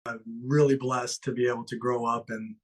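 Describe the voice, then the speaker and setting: A man's voice: medium pitch, little pitch movement, fairly quick. A man from Ecuador, a musician guest, talking earnestly and gratefully in a rambling way. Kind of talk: casual interview chat.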